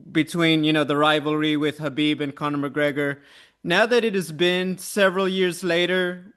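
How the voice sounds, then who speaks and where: A man's voice: a little high, fairly bright tone. A man working as a journalist, putting questions in a press-call style.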